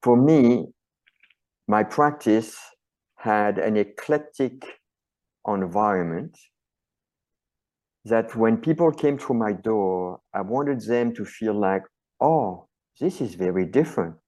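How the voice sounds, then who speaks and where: A man's voice: lowish voice, lively intonation, slow pace, pauses often, dark tone, low-quality recording. A middle-aged man with a French accent, a chiropractor and author answering interview questions earnestly and passionately, often stacking phrases into lists.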